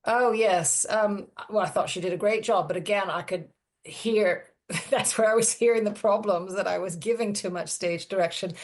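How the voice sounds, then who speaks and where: A woman's voice: mid-range voice, moderate pace. A woman speaking as a writer, reflecting casually on her own work. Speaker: writer, guest.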